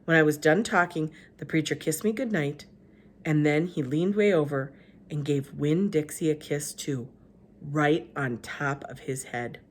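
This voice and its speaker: A woman, a teacher, reading a children's novel aloud with expressive narration. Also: a little slow, slight background noise. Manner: expressive, lively pitch. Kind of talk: storybook read-aloud narration.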